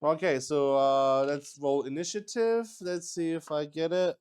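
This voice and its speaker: Slow, halting man narrating his game. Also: on the high side, fairly even intonation, darkish tone, clear, resonant tone. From Luxembourg. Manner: halting, thinking aloud. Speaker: solo tabletop roleplayer. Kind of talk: narrating a solo tabletop game while playing.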